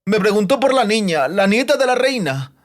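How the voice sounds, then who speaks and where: A man's voice: high-pitched, sing-song, moderate pace, pitched above the performer's own voice. A man voicing a terrified captive bard in an audiobook, breathless and whimpering as he pleads and rambles through frantic denials. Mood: tearful pleading.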